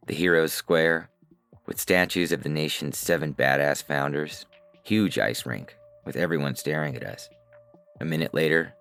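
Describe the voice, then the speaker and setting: A man narrates science fiction in the first person. His voice is extremely low with plenty of fry. The telling is wry and conversational, with faint music behind. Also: a bit bright. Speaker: narrator and reader.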